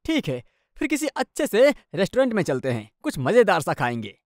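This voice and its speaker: A woman plays a young husband in a cartoon tale. The pitch swings widely as he teases, grumbles and yields. The sound is dry. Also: huge pitch swings, brisk, a little mellow, no echo. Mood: eager. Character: young husband, teasing but easily giving in. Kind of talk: animated Hindi moral-story dubbing.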